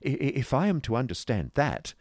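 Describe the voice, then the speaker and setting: A dainty, genteel landlady in her fifties, prim and a little hesitant in her speech, as a male audiobook reader puts her on. Her voice sits higher and lighter than the reader's own. The close studio sound stays dry. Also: huge pitch swings, brisk, a little mellow, no room sound. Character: mincing genteel woman of fifty, a landlady. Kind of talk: audiobook character voice.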